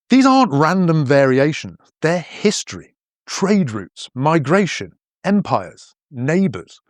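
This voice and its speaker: A man's voice: medium pitch, no room sound, studio quality. A man in his fifties from northern England with a mostly posh English accent that keeps northern traces, narrating an educational podcast in a playful, explanatory way. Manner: playful, explanatory, lively storytelling. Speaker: podcast host and language teacher.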